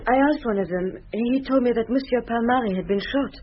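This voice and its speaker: An actress playing a polite, forthcoming married neighbour answering police questions in a radio drama. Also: female voice, a little high, quick pace, natural voice. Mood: courteous. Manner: courteous, forthcoming. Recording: dull radio recording with noise.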